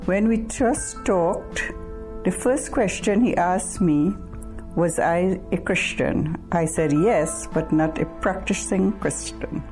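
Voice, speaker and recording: A woman in her sixties from Trinidad, giving a slow, measured personal testimony of faith. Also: on the low side, a little mellow, soft background music. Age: 60s. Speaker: church member giving testimony.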